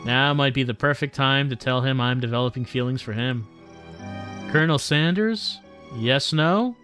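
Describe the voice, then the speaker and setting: A male streamer with a mid-pitched voice reads a visual novel aloud. His delivery is wry and deadpan, slipping between game text and his own commentary. Game music plays clearly behind him. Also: moderate pace.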